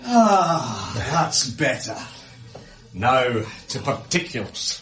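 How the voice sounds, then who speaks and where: An actor playing a pompous, effusive official in a radio drama, grandiose and theatrical in delivery. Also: male voice, medium pitch, very animated, very slow, fairly bright tone, soft background music, exaggerated voice. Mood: officious. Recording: crowd murmur behind the voice.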